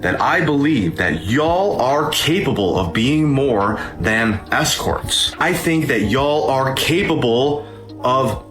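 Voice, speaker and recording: A man's voice: medium pitch, expressive, takes it slowly, fairly bright tone. A man making an emphatic, declamatory statement addressed to an audience like an open letter. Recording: echoey room, faint music.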